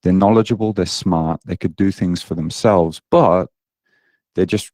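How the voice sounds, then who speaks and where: A man's voice: deep voice, a little slow, warm tone, no echo, clean studio sound. A British man in his late thirties, a fitness coach guest chatting casually on a podcast, self-deprecating with lots of ums and uhs. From Britain.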